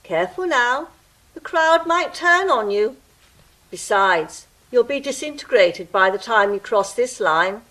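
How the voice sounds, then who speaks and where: A woman's voice: a little high, animated, unhurried, fairly bright tone. A woman playing a fussy, pompous ticket-eating gatekeeper creature in an audio drama, with pedantic, theatrical delivery. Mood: officious, warning. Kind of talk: stage-style audio drama acting.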